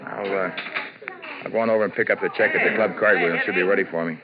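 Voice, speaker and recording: Coaxing, casual husband, lowish voice and quick talk, vintage radio play. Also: male voice, steady tone. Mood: soothing, casual. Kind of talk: 1950s radio drama acting. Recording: dull vintage radio, background chatter.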